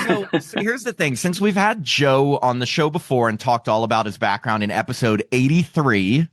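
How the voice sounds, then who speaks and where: A man's voice: medium pitch, fairly bright tone, dry recording, clean studio sound. A man hosting a horror podcast, reading intros and teasing his guests in a playful, chatty way. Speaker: podcast host.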